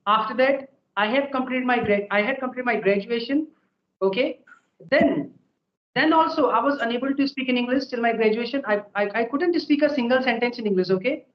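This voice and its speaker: A man's voice: very high voice, brisk, echoey room, dull audio. A young man with an Indian accent, native Hindi speaker, explaining step by step in casual talk and tagging his sentences with "okay" and "right". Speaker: guest English learner sharing tips. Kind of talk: conversational advice.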